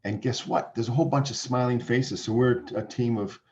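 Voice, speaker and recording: A middle-aged Canadian man with a Canadian accent, answering seminar questions over a video call, halting with frequent restarts. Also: medium pitch, fairly even intonation, dark tone, a little hiss or hum. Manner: halting, many restarts and fillers. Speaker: company founder presenting. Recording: dull lo-fi video call audio.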